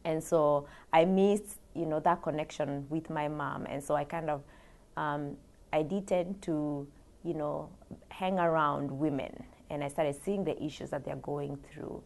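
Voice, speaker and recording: Young woman, low gravelly voice, hesitant and soft. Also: steady tone, a little slow. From Kenya. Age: young adult. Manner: soft-spoken, hesitant, many ums. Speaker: graduate student. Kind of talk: interview answers.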